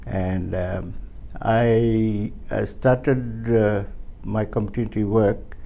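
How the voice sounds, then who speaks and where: A man, speaking as an interview guest in a halting, earnest way with many pauses and restarts. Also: on the low side, fairly even intonation, vocal fry, mellow. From Fiji. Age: 70s. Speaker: interview guest, community leader. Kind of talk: casual interview.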